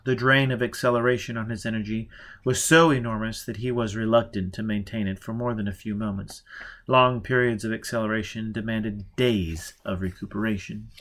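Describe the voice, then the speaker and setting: Expressive male audiobook narrator. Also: fairly low pitch, brisk. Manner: steady, expressive reading, with occasional slips and self-corrections. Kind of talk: amateur audiobook reading of a novel.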